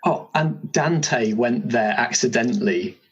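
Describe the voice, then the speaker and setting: A man's voice: mid-range voice, unhurried, slightly rough, highs cut off. A man hosting a roleplaying podcast, casually explaining game rules and ideas. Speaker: host, game compère.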